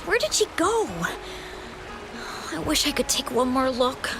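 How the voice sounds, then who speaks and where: A woman's voice: high-pitched, expressive, a little slow. A woman playing a curious, earnest female adventurer and eager admirer in a fantasy video game, with music behind her. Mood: admiring, wistful. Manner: earnest, inquisitive.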